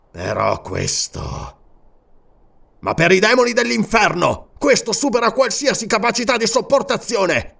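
A man's voice: a little high, creaky, a bit bright, voice pushed up, heavily acted. An Italian man narrating an audiobook and voicing a proud, furious barbarian king in disguise who swears vehement, declamatory oaths.

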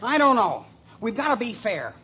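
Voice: mid-range voice, sing-song, normal speed, bright, forceful tone, dull audio. A performer playing an earnest, solemn, pompous boy acting as man of the family in a 1950s radio sitcom. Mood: solemn, indignant. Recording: slightly noisy old radio recording.

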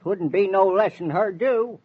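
An excitable old in a radio drama. His voice is high and husky, leaping up and down with rustic, folksy pride. The old recording is dull. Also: unhurried. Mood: proud, boastful. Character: excitable, proud old rural farmer, cow enthusiast. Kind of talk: 1940s radio acting. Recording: muffled 1940s radio recording.

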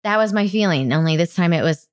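A woman's voice: on the low side, lively intonation, fast talker. A woman hosting a podcast who tells stories in casual chat, laughing often and acting out quotes. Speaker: podcast host. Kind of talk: casual chat, animated storytelling.

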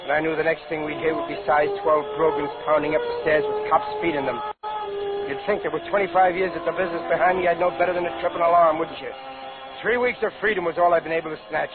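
An actor playing a tough, cocky veteran safecracker with brash, slangy talk in a 1950s radio crime drama. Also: male voice, fairly high pitch, fairly even intonation, fast talker, loud music behind the voice, natural voice. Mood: wry, tense. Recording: scratchy, noisy, narrowband 1950s radio recording.

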